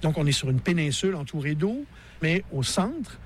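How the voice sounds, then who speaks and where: A man from Gaspésie with a Québécois accent, explaining his national park in a relaxed radio interview, with plenty of fillers. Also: fairly high pitch, normal speed, slight background noise. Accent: Québécois French. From Gaspésie, Québec.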